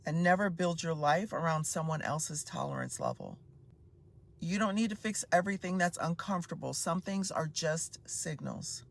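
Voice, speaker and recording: A speaker in their fifties, heard in a played clip, delivering a steady monologue of short life maxims. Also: mid-range voice. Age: 50s. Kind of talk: measured advice monologue.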